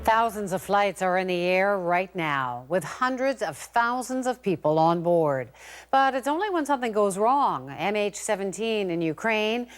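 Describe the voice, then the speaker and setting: A woman's voice: medium pitch, lively intonation, moderate pace. A woman with a Canadian accent reading a scripted news introduction as an anchor.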